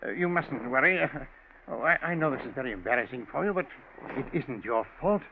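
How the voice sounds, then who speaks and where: An actor playing an indignant, blustering uncle in a 1940s radio melodrama. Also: male voice, medium pitch, expressive, a little fast, gravelly. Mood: smooth, coaxing. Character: indignant, blustering uncle under suspicion of murder. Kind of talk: 1940s radio drama acting. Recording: tinny, faintly noisy 1940s radio recording.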